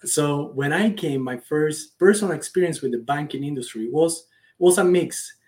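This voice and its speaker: A man with a Cuban accent and a slightly high voice answers as an interview guest. He is a native Spanish speaker, talking conversationally with earnest enthusiasm. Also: moderate pace, a little mellow. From Cuba. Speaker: guest, startup founder.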